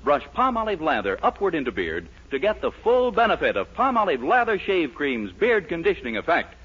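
Man, American, 1940s radio announcer, scripted delivery, highly animated intonation, lo-fi vintage broadcast. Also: brisk, slightly rough. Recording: dull lo-fi 1940s radio recording.

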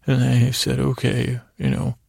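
A middle-aged man with a gravelly, creaky voice hosting a sleep podcast, rambling in a halting, silly bedtime monologue that repeats and trails off mid-thought, close on the microphone. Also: medium pitch, monotone, a little mellow, dry recording. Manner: silly, absurd asides.

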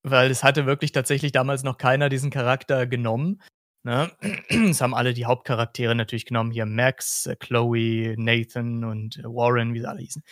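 A man's voice: mid-range voice, fairly even intonation, brisk, a bit bright, no echo, clean studio sound. A man from Hesse in Germany chats casually as a podcast host, explaining things in a rambling way.

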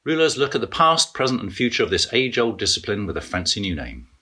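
Bright, husky, animated male podcast presenter. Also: on the low side, fast. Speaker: podcast host. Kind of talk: scripted podcast introduction.

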